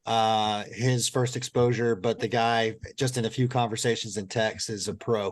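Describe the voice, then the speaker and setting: A man's voice: fairly low pitch, flat delivery, moderate pace. A man co-hosting a casual podcast, upbeat and enthusiastic, with motivational turns of phrase. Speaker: podcast co-host.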